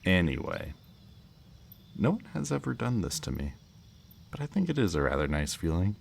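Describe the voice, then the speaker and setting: A man's voice: sits low, sing-song, creaky, heavily acted. A man playing a smug, politely menacing kidnapper in an intimate ASMR roleplay, speaking to the listener in a teasing, mock-courteous way. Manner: slow, teasing, mock-courteous, lingering over words. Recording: faint background noise, chirping night crickets.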